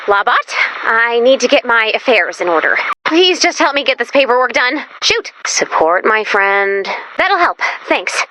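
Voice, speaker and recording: A woman voicing an excitable, anxious and quirky young female robot companion in a video game, theatrical and prone to deadpan gloom. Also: sits high, rough recording. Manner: theatrical, swinging from peppy cheer to deadpan gloom. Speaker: game companion character giving mission dialogue. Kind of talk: animated video game voice acting.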